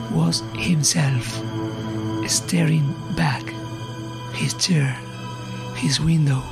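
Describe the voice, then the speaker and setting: A man narrating a horror story in short, ominous, halting phrases that often drop to a breathy hush. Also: fairly high pitch. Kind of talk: slow dramatic scripted horror narration. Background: eerie suspense music.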